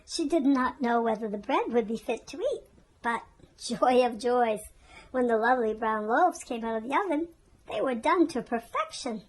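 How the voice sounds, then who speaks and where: Woman reading a children's story, higher voice. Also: animated, moderate pace, low-quality recording.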